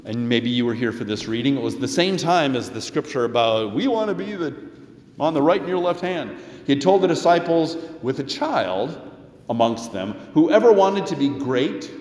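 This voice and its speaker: A man preaching a sermon as a pastor, with a measured, emphatic delivery and deliberate pauses. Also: medium pitch. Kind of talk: sermon with scripture and commentary quotes. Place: large echoing church or hall. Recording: echoey hall with long reverb.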